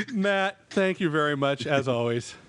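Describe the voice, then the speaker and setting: A man's voice: animated, slow. A middle-aged man hosting a podcast in a casual, informal way. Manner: informal, self-deprecating. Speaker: podcast host. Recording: clear background noise with rumble.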